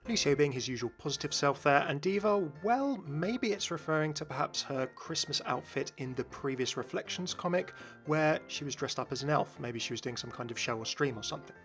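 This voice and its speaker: A man's voice: on the high side, expressive, fairly quick, few pauses, a bit bright. Man presenting game commentary over faint music. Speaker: video game commentary host. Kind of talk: informal scripted commentary. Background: faint background music.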